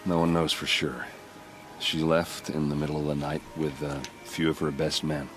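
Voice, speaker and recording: An actor playing a gruff, self-deprecating soldier in a video game, blunt and wry, with a weary manner. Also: male voice, a bass voice, little pitch movement, moderate pace, faint music behind. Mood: grieving. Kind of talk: dramatic video game acting.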